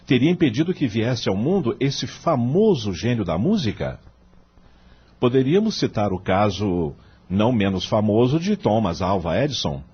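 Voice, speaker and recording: An actor playing an eloquent, principled older judge and mentor with a Brazilian accent, speaking in formal, emphatic rhetoric for an audio drama. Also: male voice, husky, heavily acted. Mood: persuasive, instructive. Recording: muffled low-fidelity audio with music behind.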